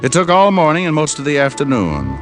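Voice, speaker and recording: A man's voice: on the high side, wildly swooping pitch, brisk. An American man playing a wry, streetwise private detective in old-time radio style, narrating in clipped slang. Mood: matter-of-fact narrating. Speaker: lead actor and narrator of a detective series. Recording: vintage radio recording with music.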